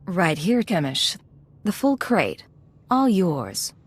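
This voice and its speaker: Composed, lowish-voiced secret agent. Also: female voice, wildly swooping pitch, slow pace, breathy edge, exaggerated voice. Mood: composed, assured. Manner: cool, concise, controlled. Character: composed, principled female undercover intelligence agent. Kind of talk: video game voice acting.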